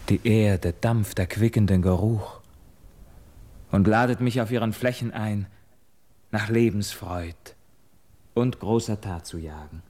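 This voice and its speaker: Haunted young noble in a radio verse play, low voice, very slow fervent declaiming. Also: male voice, a little mellow. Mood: exalted. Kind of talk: classical German radio verse drama acting.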